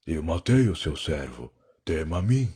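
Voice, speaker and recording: A Brazilian man playing a weary, defiant alien time-traveler in an audio drama, husky and gravelly, with a brooding, sarcastic, theatrical delivery. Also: a bass voice, sing-song, measured pace, dark tone, full character voice. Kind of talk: dramatic first-person audio drama narration.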